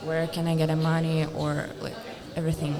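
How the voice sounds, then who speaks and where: A woman's voice: low voice, monotone, crowd noise. A young woman with a Russian accent, a student guest, talking hesitantly with many fillers. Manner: hesitant, halting, many fillers, laughs often. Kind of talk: casual interview chat.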